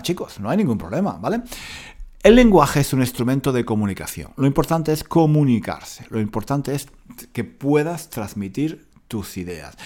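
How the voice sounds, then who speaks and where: Hombre, profesor de español, tono animado. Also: male voice, a little high. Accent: Spanish (Spain). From Spain. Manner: animated, repeats key points, many fillers. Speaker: Spanish teacher. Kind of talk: spoken lesson to learners.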